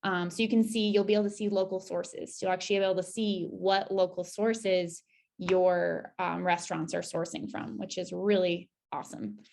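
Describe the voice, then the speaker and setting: A woman with a slightly low, noticeably creaky voice walks listeners through a website demo. Her delivery is casual and conversational, with informal, upbeat asides as she explains each step. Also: steady tone, moderate pace, darkish tone. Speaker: presenter giving a website demo. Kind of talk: casual screen-share walkthrough.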